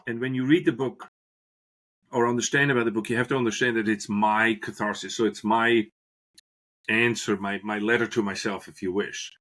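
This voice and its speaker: A man's voice: on the low side, fairly even intonation, normal speed, fairly bright tone. A middle-aged man from Switzerland, a guest on a podcast, telling his story earnestly and often restarting phrases as he searches for words. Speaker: guest, business professor. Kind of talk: podcast interview, reflective storytelling.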